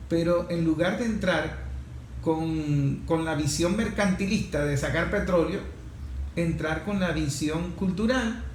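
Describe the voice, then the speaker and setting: Higher-voiced Venezuelan man, roomy echo. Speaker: talk show host. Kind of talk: political commentary and interviewing on a current affairs talk show. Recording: roomy echo, faint background noise.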